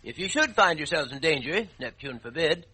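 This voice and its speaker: A male actor playing a wise, kindly talking fish with a hoarse throat and quaint nautical speech, in an old children's radio drama. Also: a little high, animated, moderate pace, sharp tone. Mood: earnest advice. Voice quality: hoarse, foghorn-like sore throat. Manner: avuncular, quaint nautical exclamations.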